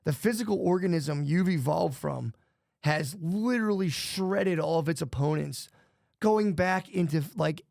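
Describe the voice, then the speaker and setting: Gravelly casual man rambling on a podcast. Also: fairly high pitch, a little slow, darkish tone, no room sound, clean studio sound. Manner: rambling, swears a lot, says "dude" often. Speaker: podcast co-host.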